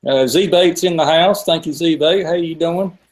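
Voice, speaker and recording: A man's voice: on the high side, moderate pace. A man in his forties with a Southern US accent, hosting a live stream in a casual, rambling way that circles back over his words. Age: 40s. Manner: rambling, repeats himself. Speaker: host of a fishing live stream.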